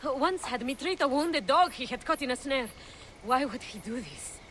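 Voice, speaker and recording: A woman playing a distressed, gentle Egyptian countrywoman in a video game, pleading and emotional. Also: sits high, lively intonation, normal speed, slight background noise. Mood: sorrowful. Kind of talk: video game cutscene acting.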